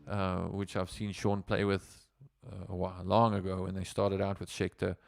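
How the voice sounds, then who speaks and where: South African musician, low gravelly voice, dry sound, loose rambling storyteller. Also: male voice, monotone, moderate pace, no echo. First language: Afrikaans. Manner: rambling, with many fillers and restarts. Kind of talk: casual livestream storytelling.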